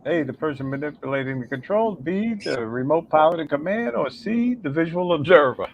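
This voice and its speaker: A man's voice: a little high, expressive, a little fast, dull audio, slight background noise. A man co-hosting a live drone show, upbeat and encouraging, chatting and reading quiz questions. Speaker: co-host. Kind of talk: casual chat and quiz reading.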